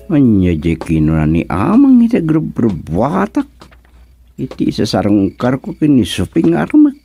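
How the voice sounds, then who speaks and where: A man's voice: medium pitch, huge pitch swings, measured pace, creaky, dark tone, soft background music, exaggerated voice. A man acting a bashful, nervous young suitor in an Ilocano radio comedy, stammering and exclaiming with an Ilocano accent. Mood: musing, amused. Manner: stammering, exclaiming, muttering anxiously to himself.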